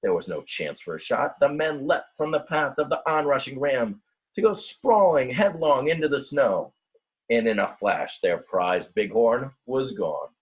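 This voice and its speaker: A man reading a children's storybook aloud in a dramatic, expressive way, with simple explanatory asides for young listeners. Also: medium pitch, unhurried, husky. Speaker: teacher reading a picture book aloud.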